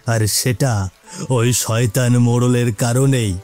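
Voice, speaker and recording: A man voicing a vengeful ghoul, a murdered village shopkeeper, in a grave, dramatic, halting cartoon delivery. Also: mid-range voice, level tone, a little mellow, close to an everyday voice. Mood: bitter, brooding. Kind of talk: Bengali animated moral-story acting. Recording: faint music behind.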